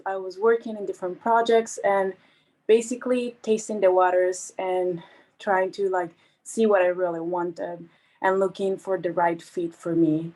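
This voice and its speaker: A woman from Colombia, a PhD candidate, introducing herself on a webinar panel in a brisk, friendly way. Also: fairly low pitch, darkish tone, rough recording.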